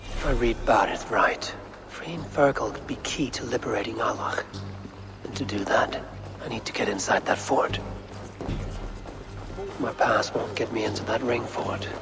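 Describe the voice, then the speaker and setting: An actor playing a confident Viking warrior in disguise in a video game, speaking tersely with dry wit. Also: male voice, on the low side, unhurried, creaky, long pauses, over music. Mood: scheming. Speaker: game protagonist. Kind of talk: video game cutscene acting.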